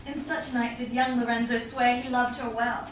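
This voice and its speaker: A woman's voice: a little high, level tone, normal speed, close to an everyday voice. Woman playing a teasing young lover, very echoey. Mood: playful, teasing. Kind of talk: staged Shakespeare reading. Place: small theatre stage, far audience camera. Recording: very echoey stage, distant, faint noise.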